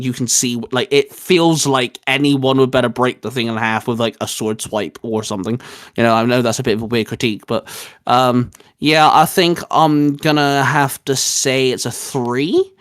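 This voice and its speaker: A man's voice: mid-range voice, a bit bright, no room sound, clean studio sound. A man hosting a casual review chat, talking like an opinionated, emphatic fan. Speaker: host.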